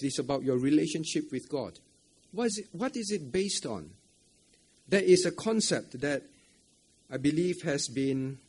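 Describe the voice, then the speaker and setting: Husky, upbeat pastor. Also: male voice, on the high side, a little mellow. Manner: asks the audience many questions, repeats phrases, tags lines with "right?"; chuckles often between points. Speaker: pastor preaching at a church camp. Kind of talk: interactive camp sermon. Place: church camp meeting room.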